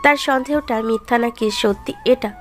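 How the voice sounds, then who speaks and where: A woman's voice: a little high, moderate pace, faint music behind. A woman narrating a scripted romantic story as its storyteller.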